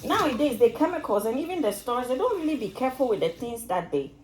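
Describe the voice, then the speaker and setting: A woman's voice: a little high, big pitch swings, fast, background noise. A woman in her thirties with a Ghanaian accent, chatting casually to her camera while she cooks in a home kitchen. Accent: Ghanaian, mixed with Italian and British touches. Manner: chatty, lots of "like" and restarts, shifts accents playfully. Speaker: vlogger. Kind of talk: casual vlog chat while cooking. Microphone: phone camera on the counter, filming from below.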